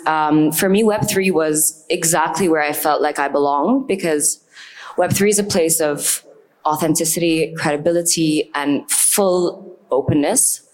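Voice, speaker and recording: A woman's voice: low-pitched, poor audio. A woman artist from Lebanon, speaking on a panel on stage in an earnest, reflective way. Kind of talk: panel talk. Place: event stage.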